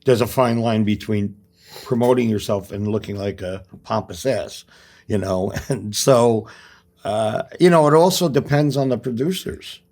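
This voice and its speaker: A man's voice: lowish voice, a little slow, a little mellow. A middle-aged man, an actor guest, chatting earnestly on a podcast and sharing life lessons. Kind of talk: casual podcast chat.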